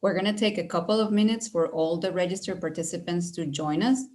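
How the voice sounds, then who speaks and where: A middle-aged woman from Venezuela, a university recruitment director, presenting an online webinar over a video call, friendly and measured with many fillers. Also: fairly low pitch, a little mellow.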